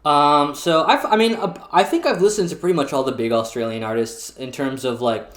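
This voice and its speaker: A man's voice: fairly high pitch, fairly quick, fairly bright tone. A young man hosting a casual livestream chat from home, friendly and rambling with many fillers. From New York City. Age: young adult. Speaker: streamer host. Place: small room at home. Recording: roomy home echo.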